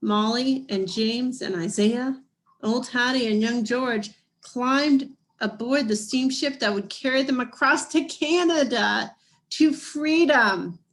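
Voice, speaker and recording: A woman reading a children's picture book aloud as scripted narration, in deliberate, measured phrases with dramatic pauses. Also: on the high side, fairly bright tone. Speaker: storybook reader.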